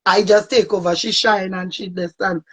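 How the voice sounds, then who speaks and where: A woman's voice: medium pitch, fairly even intonation, normal speed, slightly rough. A woman with a Trinidadian accent speaking earnestly and reflectively as a guest in a casual interview. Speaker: soca singer guest.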